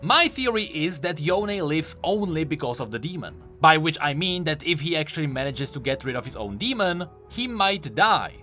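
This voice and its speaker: A man's voice: fairly high pitch, big pitch swings, normal speed, few pauses, bright, forceful tone, faint music behind. A man giving enthusiastic, explanatory commentary on video game lore, leaning hard on key words for emphasis.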